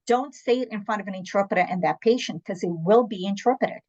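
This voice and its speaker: A woman's voice: mid-range voice, fast talker, a little mellow, lo-fi sound. A woman from Angola and South Africa whose first language is Portuguese, speaking candidly in a conversational panel talk and telling anecdotes with emphatic asides. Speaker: interpreter services guest.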